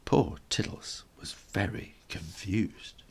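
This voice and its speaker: A man reading a children's story in an animated, theatrical style, with dramatic pauses. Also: low-pitched, creaky, a little hiss or hum.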